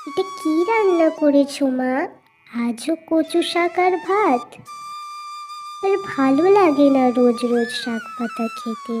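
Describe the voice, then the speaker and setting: A woman voicing a poor farmer's young daughter in a cartoon, childlike and plaintive, over loud background music. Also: sits high, wildly swooping pitch, slow, heavily acted. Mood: whiny.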